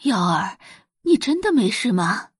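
A woman playing doting rich mother, exaggerated and fussy, high, wildly swooping, audio drama. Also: very animated, a little slow, husky, no room sound, studio quality, exaggerated voice. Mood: fussing, worried. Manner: exaggerated, gushing, fretful.

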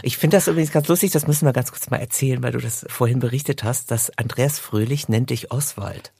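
A man with a husky voice and some gravel in it hosts a podcast interview. He speaks in an informal, chatty way, asking questions in a conversational tone. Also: mid-range voice, fast talker, dark tone. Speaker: podcast host interviewing two guests.

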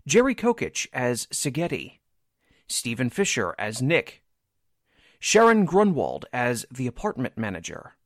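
Animated male radio show announcer. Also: medium pitch, no room sound, clean studio sound.

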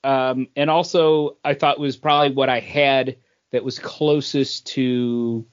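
A man co-hosting a podcast, chatting casually with friends in a halting way. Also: medium pitch, steady tone, smooth. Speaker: podcast co-host. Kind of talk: casual chat among friends. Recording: dull lo-fi voice recording.

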